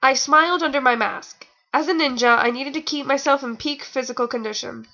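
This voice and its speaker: A woman's voice: sits high, brisk, vocal fry, sharp tone, muffled recording. A young adult woman giving a mocking amateur fan fiction reading with rambling, exasperated, theatrical asides, sounding a bit tired. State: tired, sore nose.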